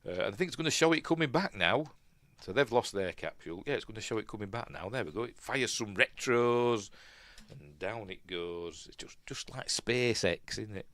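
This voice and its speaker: A man with a Yorkshire accent hosting a casual film commentary, rambling and musing aloud, often second-guessing himself and trailing off quietly. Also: lowish voice, animated, moderate pace, dry recording. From Yorkshire, England. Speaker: film review host.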